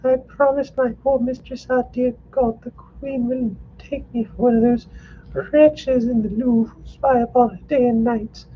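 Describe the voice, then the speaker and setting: Man in high falsetto, anxious young woman, earnest, storybook reading, muffled audio. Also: steady tone, measured pace, slightly rough, heavily acted. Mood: bitter.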